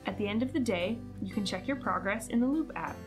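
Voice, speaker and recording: A woman narrates a promotional product video from a script. Her voice sits at an average pitch, with guitar music playing clearly behind it. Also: brisk.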